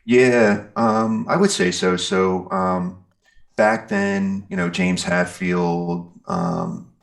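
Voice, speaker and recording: A man's voice: fairly low pitch, huge pitch swings, extremely slow, creaky. A middle-aged man chatting casually as an interviewed musician, rambling and enthusiastic in an informal way. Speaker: metal singer and guitarist being interviewed.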